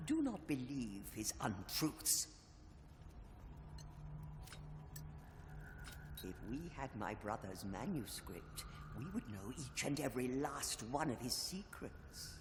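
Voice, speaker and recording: Bitter, theatrical sibling in echoing gloom. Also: male voice, medium pitch, sing-song, a little slow. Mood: hushed pleading. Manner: archaic, grandiose, menacing diction. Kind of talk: scripted dramatic game dialogue. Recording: echoing hall, long reverb, faint hiss.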